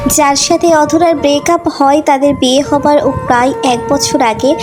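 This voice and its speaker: A woman storyteller reading a scripted romantic story as narration. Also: sits high. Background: background music.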